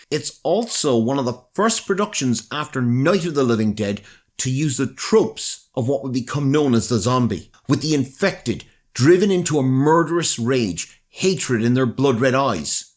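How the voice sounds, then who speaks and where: Irish male presenter, mid-pitched, scripted documentary narration, measured dramatic storytelling. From Ireland.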